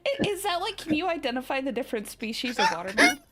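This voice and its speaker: Highish-voiced woman, hugely expressive pitch, exasperated sweary teasing, music behind her. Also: quick pace, heavy background noise. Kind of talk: casual teasing banter.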